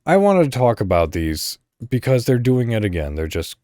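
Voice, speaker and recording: A man hosting a podcast solo, chatting casually and thinking out loud in a rambling way. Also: mid-range voice, big pitch swings, normal speed, no echo, clean studio sound. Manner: rambling, thinking aloud, mild swearing. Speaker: podcast host.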